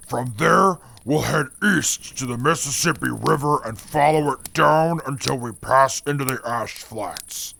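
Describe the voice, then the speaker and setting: Brief: gravelly, slow-spoken navigator voiced by a man, formal and methodical. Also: medium pitch, fairly bright tone, exaggerated voice. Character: calm, precise male navigator who never sleeps. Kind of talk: audio drama acting.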